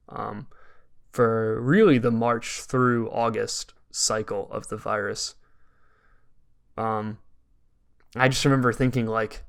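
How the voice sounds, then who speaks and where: A man's voice: medium pitch, fairly bright tone, smooth. A young adult man with an American accent co-hosting a podcast, talking casually in a rambling, storytelling way full of "like". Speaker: podcast co-host. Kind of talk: casual conversational podcast talk.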